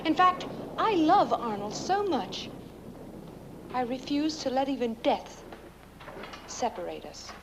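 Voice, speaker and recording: Demure, greedy young bride: sweetly measured film dialogue, highish and very animated. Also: female voice, noisy background, exaggerated voice. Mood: sweetly defiant.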